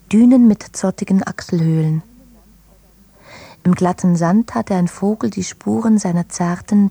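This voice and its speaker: A woman with a husky, mellow voice recites literary prose for radio. She phrases it in a measured way, in a close, dry sound on the lower side. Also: no echo. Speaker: reader in a radio literary production.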